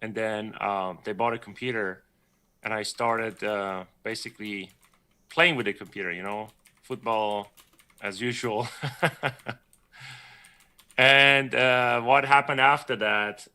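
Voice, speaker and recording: Male guest from Iran, bright forceful tone, loose rambling storyteller. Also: fairly low pitch, steady tone, slow pace, pauses often, clear, resonant tone. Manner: rambling, self-correcting storyteller, laughs often. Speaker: guest artist. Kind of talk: casual livestream chat.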